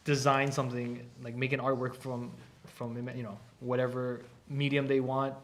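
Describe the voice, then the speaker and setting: Brief: male art instructor, mid-pitched, loose and talkative on a casual stream. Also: flat delivery, normal speed, a little hiss or hum. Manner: chatty, explains at length with restarts. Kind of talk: casual live stream chat. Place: art academy studio.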